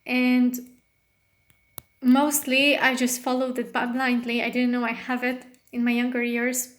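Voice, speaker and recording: Woman from Romania, somewhat high voice with little melody, astrologer chatting off the cuff in non-native English, lots of ums and restarts. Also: monotone, measured pace. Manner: rambling, with frequent fillers and self-corrections. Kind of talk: casual personal monologue.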